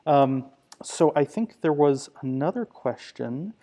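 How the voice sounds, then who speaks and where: Halting minister, male, mellow and warm timbre, thinking aloud in a live church Q&A. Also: a little high, expressive. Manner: hesitant, halting. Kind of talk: live unscripted Q&A conversation.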